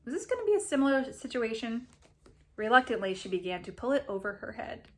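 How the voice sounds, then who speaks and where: Higher-pitched woman reading a story aloud. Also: sing-song, quick pace, fairly bright tone. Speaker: reader of a story. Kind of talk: expressive storybook read-aloud.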